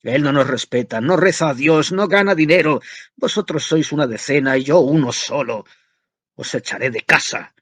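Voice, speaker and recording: A man's voice: on the high side, normal speed, no echo, pitched above the performer's own voice. A man doing a furious ranting old father. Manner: ranting, shouting. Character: furious older father, tyrannical and shouting.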